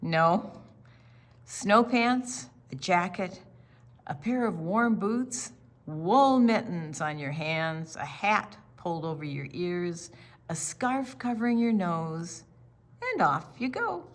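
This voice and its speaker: Woman, storytime reader, animated intonation, unhurried pace, picture-book read-aloud for young children. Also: lowish voice. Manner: question-and-answer delivery aimed at young children.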